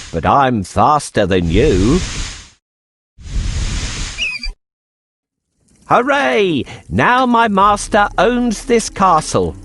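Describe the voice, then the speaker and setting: Sly show-off cat for a kids story, high voice, super animated, wheedling and excitable. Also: male voice, heavily acted. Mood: gleeful, boastful. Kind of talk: children's storybook character acting.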